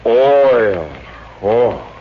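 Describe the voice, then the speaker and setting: An actor playing a slangy, scheming young boyfriend in a 1950s radio comedy. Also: male voice, medium pitch, animated, extremely slow. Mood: eager, greedy. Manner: slangy, breezy, shifty. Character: scheming, money-hungry young boarder, slangy and jealous boyfriend. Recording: muffled, noisy old radio recording.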